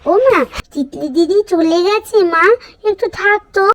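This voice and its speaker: A woman's voice: extremely high pitch, measured pace, voice pushed up. A woman voicing a demanding, chatterbox little girl doll in a story, with a whiny, pouty, childish manner. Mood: disappointed.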